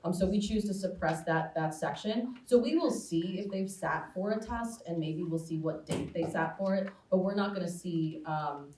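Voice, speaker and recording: A woman admissions counselor talks on a school panel, friendly and chatty with plenty of ums. Her voice sits a little low. The sound is echoey and distant, with faint noise behind. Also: normal speed, few pauses, mellow. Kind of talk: panel Q&A answer. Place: school auditorium. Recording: echoey hall, distant mic.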